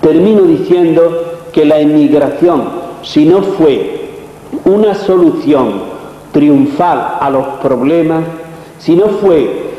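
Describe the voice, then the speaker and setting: Slow, formal Spanish man in an echoey hall. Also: fairly high pitch. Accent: Spanish from Spain. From Cañada, Spain. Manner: formal, deliberate, rhetorical phrasing. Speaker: interviewer. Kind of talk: prepared speech and formal interview questions. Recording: muffled, very echoey hall with noise.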